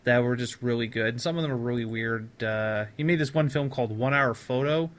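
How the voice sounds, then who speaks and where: A man's voice: mid-range voice, fairly quick. Casual male podcast host, effusive. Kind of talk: casual podcast chat about films.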